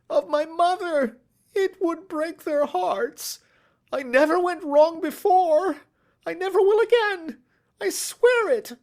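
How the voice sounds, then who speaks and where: A man voicing a cringing, terrified male thief in an audiobook, with a cracked, shrill, pleading voice. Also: full character voice. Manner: pleading, servile, quavering. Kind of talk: audiobook character voice.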